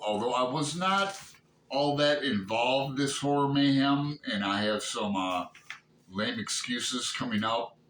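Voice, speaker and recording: A middle-aged or older man with a Midwestern American accent, chatting casually to viewers in a halting, digressive, self-questioning way. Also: mid-range voice, a bit bright. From Toledo, Ohio, United States. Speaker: book vlogger hosting his channel. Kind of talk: casual rambling chat to viewers. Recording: echoey room, clear background noise.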